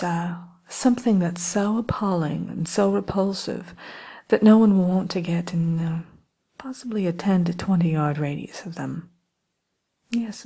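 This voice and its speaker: A woman playing a sly, genteel evil queen shopkeeper in a soft, breathy, often whispered ASMR role play. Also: on the low side. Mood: gloating, confiding. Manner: unhurried, genteel, slyly menacing.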